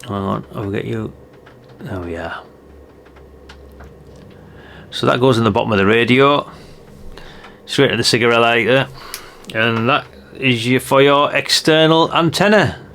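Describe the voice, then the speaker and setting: A man's voice: mid-range voice, unhurried, pauses often, fairly bright tone, slight background noise. An older man chatting in a rambling way, thinking aloud through a casual hands-on product review. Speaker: radio hobbyist reviewer.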